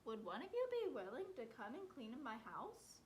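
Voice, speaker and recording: A woman's voice: sits high, wildly swooping pitch, moderate pace, a little hiss or hum. A woman voicing a friendly, polite male hermit crab in a storybook, with earnest and courteous phrasing. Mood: admiring, polite.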